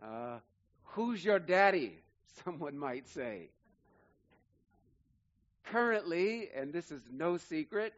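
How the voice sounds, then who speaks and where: A man's voice: a little high, highs cut off. A man in his forties, a pastor preaching an earnest sermon and building emphatic lists. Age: 40s.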